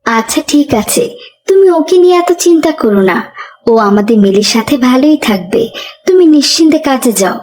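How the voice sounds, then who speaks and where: A woman voicing a pious, gentle but admonishing young mother in a children's moral cartoon. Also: sits high, expressive, a little fast, faint music behind. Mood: soothing.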